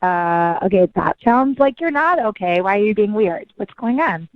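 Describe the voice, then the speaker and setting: Female phone call participant, mid pitch, muffled line, casual chatty delivery. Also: lively intonation, moderate pace, no room sound, soft background music. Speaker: person called on phone.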